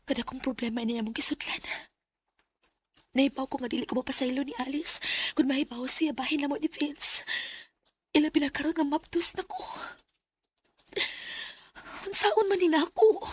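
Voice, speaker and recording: A woman's voice: sits high, moderate pace, long pauses, dry recording, natural voice. A woman playing an anguished, guilt-torn young woman in a Bisaya radio drama, with a Cebuano Filipino accent and emotional, sighing delivery. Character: Mina, anguished young woman secretly loving best friend's boyfriend.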